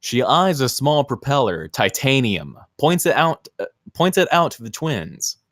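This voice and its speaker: A man's voice: medium pitch, big pitch swings, measured pace, fairly bright tone, dry recording, clean studio sound. A man reading scripted screenplay narration in a theatrical, clipped present-tense style. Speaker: stage-direction reader at a table read.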